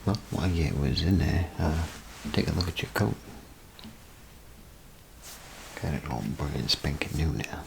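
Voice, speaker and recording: A man acts out a folksy, attentive bathroom attendant in an ASMR role play. His voice is extremely low and heavily creaky, often dropping into a breathy whisper. He rambles hesitantly and kindly to the listener, over clearly audible background noise. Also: lively intonation, moderate pace, darkish tone, full character voice, poor audio. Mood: friendly, fussing. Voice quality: breathy, gravelly half-whisper. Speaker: ASMR performer.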